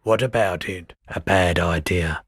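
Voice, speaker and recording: An actor playing a laid-back, world-weary pawnshop owner in a video game, husky, soft-spoken and wistful, with an unhurried, low-key delivery. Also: male voice, no echo, clean studio sound. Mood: laid-back, obliging, then weary, matter-of-fact. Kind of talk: video game character dialogue.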